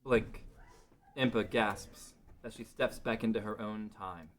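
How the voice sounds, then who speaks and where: A man's voice: on the low side, steady tone, unhurried, a little hiss or hum. A man narrating a scripted fantasy story as part of a table read.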